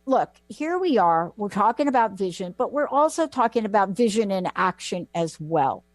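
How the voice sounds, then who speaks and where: A woman's voice: mid-range voice, sing-song, moderate pace, no room sound. A woman hosting a talk radio show, gushing and effusive as she welcomes her guests back.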